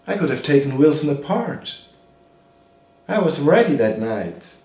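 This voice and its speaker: A man's voice: a little high, very animated, measured pace, darkish tone. A man performing a monologue as a bitter, washed-up boxer, plain-spoken and aggrieved. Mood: wounded, bitter. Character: bitter washed-up boxer reproaching his brother. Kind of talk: theatrical monologue. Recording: very echoey room, distant mic.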